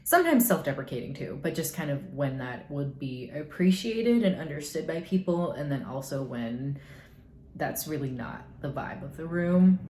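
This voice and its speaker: A woman's voice: deep voice, sing-song, normal speed, mellow. A young woman rambling through get-to-know-me questions in a casual vlog, full of hedges and dry, sarcastic asides. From United States. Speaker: YouTuber. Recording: echoey room, faint noise.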